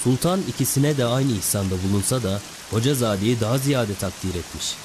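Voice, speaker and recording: An actor playing a gossipy, learned townsman in an animated film, chatting as he shares news. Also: medium pitch, fairly quick, noisy background, close to an everyday voice. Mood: conversational. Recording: loud rain and thunder. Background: rainstorm with thunder.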